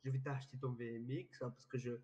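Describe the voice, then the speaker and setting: A man's voice: medium pitch, little pitch movement, fast, dark tone. An irritated, foul-mouthed man trading slangy banter in an online game roleplay. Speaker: GTA roleplay player.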